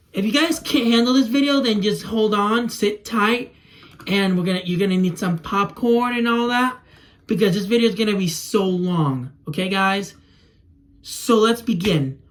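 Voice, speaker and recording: A young man who speaks Spanish natively, chatting in a casual, rambling way as he demonstrates something to camera. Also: high voice. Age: young adult. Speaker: toy video creator. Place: small room indoors at home. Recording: clear background noise and clicking.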